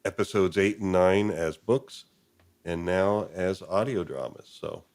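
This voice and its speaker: A man with a fairly low voice hosts a live stream. He chats casually and appreciatively, rambling a little and pausing as he gathers his thoughts. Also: a little mellow.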